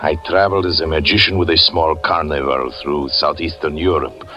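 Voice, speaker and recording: A man playing a brooding magician who narrates his story in a 1940s radio drama, slow and solemn. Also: extremely low pitch, fairly even intonation. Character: brooding male magician and fortune teller narrating his past. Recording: dull 1940s radio broadcast with music.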